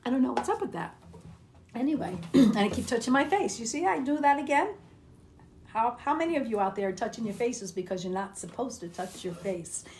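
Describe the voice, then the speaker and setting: A woman's voice: on the high side, animated, a little fast, darkish tone, a little hiss or hum. A woman singer-songwriter chatting casually during a live song share from home. Her voice is dry and throaty, and she talks with lots of ums and restarts, thanking her listeners. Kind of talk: casual livestream chat between songs. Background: her own acoustic guitar.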